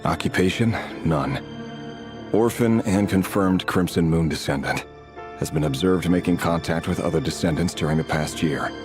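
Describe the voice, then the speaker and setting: Male actor as stern game official, very low voice, clipped formal delivery, self-justifying, loud music behind. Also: moderate pace, lightly acted. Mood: cold, clinical. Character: grim dutiful male dynasty official, coldly self-justifying, uneasy. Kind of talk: video game voice acting. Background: loud background music.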